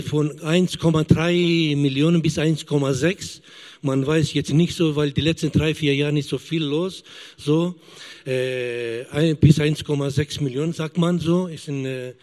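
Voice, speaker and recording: A man in his fifties, a missionary giving a halting testimony as a church guest and often searching for words. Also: on the high side, darkish tone. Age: 50s.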